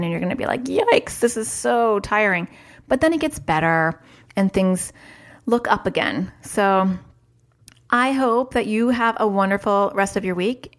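A woman hosting a solo podcast, talking in a chatty, upbeat way with lively jumps in pitch. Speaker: podcast host, parent.

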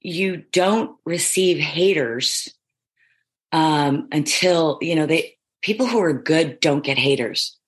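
A middle-aged woman with a notably deep, husky voice talks through an interview in long, looping sentences. She is emphatic and self-affirming, stacking one thought onto the next. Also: takes it slowly. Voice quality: low, husky voice. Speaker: reality show contestant guest. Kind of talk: casual interview chat.

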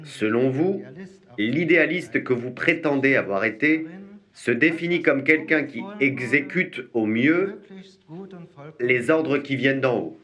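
A courtroom interrogator in a radio play, at an ordinary middle pitch. He presses the accused with pointed, probing questions. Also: animated, unhurried, fairly bright tone. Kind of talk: radio drama acting.

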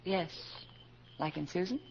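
A woman's voice: fairly low pitch, lively intonation, a little slow, creaky, a little mellow. An actress playing a flustered, polite widowed mother who poses as a college freshman, with hesitant, genteel phrasing in 1940s radio style. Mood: soft, confiding. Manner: hesitant, genteel, full of little exclamations. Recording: muffled, noisy old 1940s radio recording.

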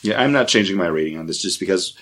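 A man with a lowish voice chats casually on a podcast. He rambles a little as he talks things over with friends. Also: fast talker. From lives in Orlando area. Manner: relaxed, rambling, talks through beer and theme parks. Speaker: podcast host.

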